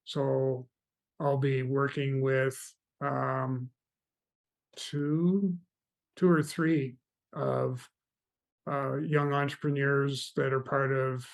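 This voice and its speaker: Gravelly, even-toned Canadian man. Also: mid-range voice, drawn-out words, long pauses. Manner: relaxed, meandering storytelling. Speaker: mentoring guest. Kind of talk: casual interview chat.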